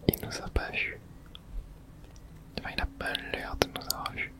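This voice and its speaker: A person whispering casual, hushed ASMR commentary while playing a video game, breathy and thinking aloud. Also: moderate pace.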